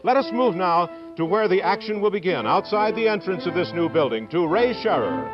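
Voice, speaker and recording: High, animated American announcer over music. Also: male voice, fairly quick. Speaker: broadcast announcer. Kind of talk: formal 1950s television announcing. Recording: muffled 1950s broadcast with music behind.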